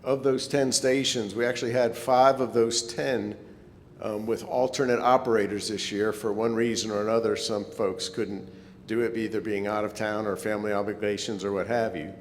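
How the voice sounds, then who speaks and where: A man's voice: fairly even intonation, normal speed. A man giving an informal, slightly hesitant club presentation in a meeting hall. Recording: echoey hall, rumbling background noise.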